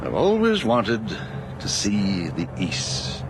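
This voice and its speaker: A man's voice: on the low side, wildly swooping pitch, slow, background noise, full character voice. An actor playing a grim, troubled middle-aged policeman in a 1980s horror radio play, intense and dramatic. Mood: calm, enigmatic. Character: grim, troubled policeman nearing early retirement.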